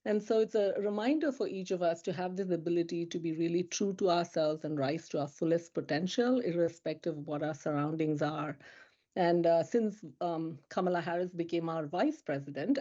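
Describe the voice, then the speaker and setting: A woman's voice: on the low side, normal speed, warm tone, highs cut off. A woman speaking as a panelist, earnest and reflective. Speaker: diversity program manager, panelist. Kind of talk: panel discussion.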